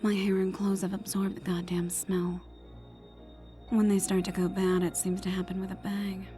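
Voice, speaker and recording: A tough, cynical female agent, played by a woman, thinks to herself in an audiobook drama. Her delivery is wry and almost monotone, questioning herself. Music plays clearly behind the voice. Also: medium pitch, moderate pace, close to an everyday voice. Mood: wry disgust. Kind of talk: dramatized audiobook inner monologue.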